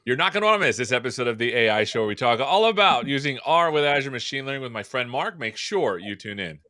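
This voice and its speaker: A man's voice: medium pitch, expressive, fast talker, pushed and bright. A man hosting a live tech show, chatting casually and joking as he welcomes viewers. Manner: jokey, rambling and upbeat. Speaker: show host. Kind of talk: casual live-stream chat.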